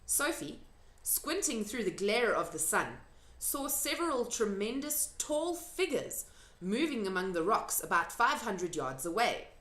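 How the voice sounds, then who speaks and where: Animated woman reading a storybook aloud. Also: medium pitch. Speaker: storybook reader. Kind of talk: expressive read-aloud for children, informal asides. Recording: echoey room.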